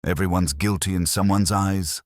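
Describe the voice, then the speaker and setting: A man's voice: low-pitched, fairly even intonation, normal speed, no echo, studio quality, pitched above the performer's own voice, close to an everyday voice. A man voicing an eager, deferential police sergeant, earnest and questioning, in a detective story. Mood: worried.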